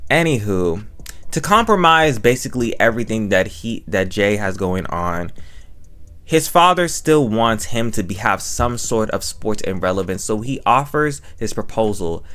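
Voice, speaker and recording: Man, low voice, casual review commentary, rambling, repetitive, self-correcting, slangy. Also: lively intonation, moderate pace, a bit bright. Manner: slangy, informal. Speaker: review channel host.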